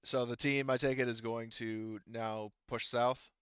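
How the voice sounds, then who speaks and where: A man's voice: medium pitch, level tone, unhurried, clear, resonant tone, no room sound, muffled recording. A man running a tabletop roleplaying game as game master, talking casually, thinking aloud and swearing freely.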